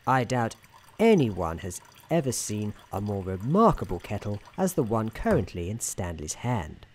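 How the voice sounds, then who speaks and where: A soft-spoken man narrating a scripted ASMR story in a wry, mock-grand manner. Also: lowish voice, sing-song, normal speed.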